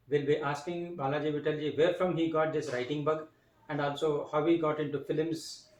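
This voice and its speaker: A man hosting an interview, introducing his guest and asking questions in measured, slightly formal phrasing. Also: on the high side, a little mellow, distant mic. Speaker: interview host. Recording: roomy, mic set back.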